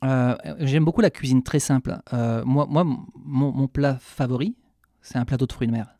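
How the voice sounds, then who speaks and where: French chef, dry studio voice. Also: male voice, mid-range voice, moderate pace, mellow, dry recording. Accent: French from France. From France. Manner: candid, hesitant with frequent restarts. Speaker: chef, radio guest. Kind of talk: radio interview answers.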